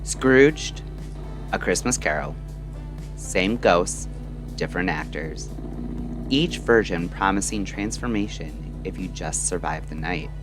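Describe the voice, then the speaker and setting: A man delivering a scripted, introspective late-night radio monologue in measured, deliberate short phrases. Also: sits low, expressive. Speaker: narrator and host of a late-night radio style audio show. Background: music.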